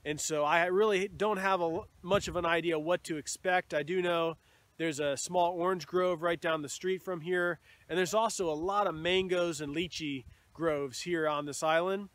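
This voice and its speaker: A man in his thirties, a beekeeper, explaining things in a relaxed, casual way to a phone held at arm's length outdoors. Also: fairly high pitch, normal speed, no room sound. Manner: relaxed explanatory talk with fillers. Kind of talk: casual instructional vlog. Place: outdoors at a bee yard. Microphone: phone camera at arm's length. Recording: faint outdoor noise.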